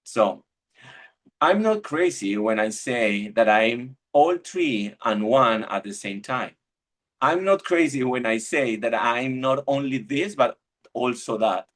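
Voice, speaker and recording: A middle-aged man speaks over a video call, presenting a webinar talk on church life. His delivery is reflective and searching, with frequent restarts as he finds his words. His voice sits at a medium pitch. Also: expressive, takes it slowly. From Argentina.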